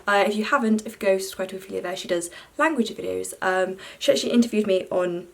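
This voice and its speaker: A woman's voice: medium pitch, gravelly, slight background noise. Chatty young British woman vlogging. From Belgium. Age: young adult. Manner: chatty, fast, lots of "like" fillers. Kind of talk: unscripted rambling vlog.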